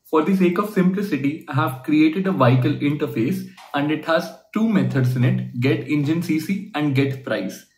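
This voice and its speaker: Young man explaining code in a roomy space. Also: a little high. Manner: explanatory, steady walkthrough with rhetorical questions. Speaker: coding tutorial host. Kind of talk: screen-share programming lesson. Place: small indoor room at a desk.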